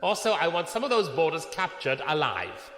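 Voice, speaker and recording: An actor playing an imperious, exasperated imperial fleet commodore in a sci-fi audio drama, giving sharp commands. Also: male voice, a little high, sing-song, normal speed. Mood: commanding. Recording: long hall reverb, noisy ambience.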